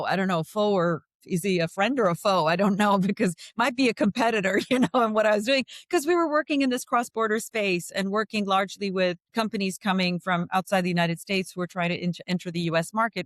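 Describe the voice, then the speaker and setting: A middle-aged woman from India, a venture fund co-founder speaking as a podcast guest in measured, articulate, long flowing sentences. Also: on the low side, dry recording, studio quality. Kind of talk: interview conversation.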